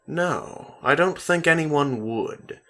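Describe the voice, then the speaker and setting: A man's voice: on the high side, expressive, slow pace, fairly bright tone, pitched above the performer's own voice, heavily acted. A man reading the storybook lines of a casual, curious teenage girl. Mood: offhand, intrigued. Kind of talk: storybook dialogue in a dramatic reading.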